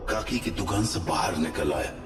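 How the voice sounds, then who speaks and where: Deep male narrator, scripted horror storytelling, echoey reverb, music clearly underneath. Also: expressive, normal speed, recorded from a distance.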